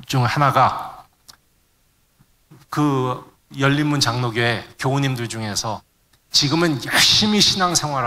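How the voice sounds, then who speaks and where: Bright-voiced middle-aged man preaching in a reverberant hall. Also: medium pitch. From Korean immigrant family in the United States. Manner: searches for words, mixes in English terms. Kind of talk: sermon to a congregation.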